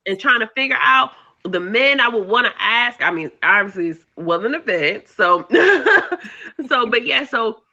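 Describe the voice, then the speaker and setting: A woman hosts a relaxed podcast conversation, backing her guest with steady affirmations and repeated phrases. Her voice is bright and forward, though the recording sounds a little dull and lo-fi. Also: on the high side, a little slow. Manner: affirming, frequent "mm-hmm" and "I love that", repeats phrases. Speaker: podcast host. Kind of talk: casual interview chat.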